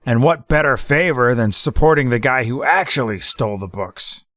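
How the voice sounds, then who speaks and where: A man's voice: medium pitch, lively intonation, normal speed, a bit bright, no room sound, clean studio sound, lightly acted. A man playing a cool, scheming new gangster boss in a hardboiled audio drama, unruffled and slangy. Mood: calculating.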